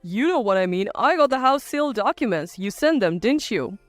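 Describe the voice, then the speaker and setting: A woman's voice: on the high side, animated, brisk, no room sound, faint music behind, voice pushed down, close to an everyday voice. A woman voicing an arrogant, scornful husband in a dramatized story, with blunt delivery. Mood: angry, indignant. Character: arrogant, scornful adult husband, quick to bluster.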